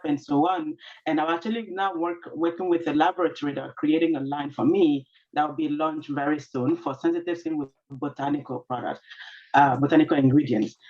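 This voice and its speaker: A woman's voice: low-pitched, darkish tone. A woman, a small business owner, introducing herself earnestly on a video call with many fillers and restarts. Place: at her workplace. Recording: dull lo-fi video call audio.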